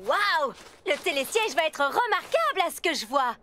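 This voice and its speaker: A confident young cartoon woman, coaching with plenty of pep. Her voice sits very high and bounces around in pitch, with music underneath. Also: very animated, normal speed, bright, forceful tone, exaggerated voice. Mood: teasing, coaching. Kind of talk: French-dubbed children's cartoon acting. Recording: music bed behind.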